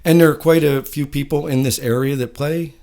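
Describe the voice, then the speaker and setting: A man's voice: a little high, steady tone, fairly quick, dark tone. A man hosting a casual music interview, friendly and rambling, with many uhs. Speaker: show host.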